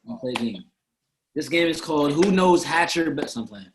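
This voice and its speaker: A man in his twenties hosting a casual group chat with friends on a video call, animated and quick to laugh. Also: fairly high pitch, a little hiss or hum. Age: 20s. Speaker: host.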